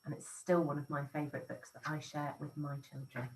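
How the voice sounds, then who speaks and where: A woman teacher reading a rhyming picture book aloud in an expressive, sing-song storytelling way. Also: sits low, fairly quick, far from the mic, noisy background.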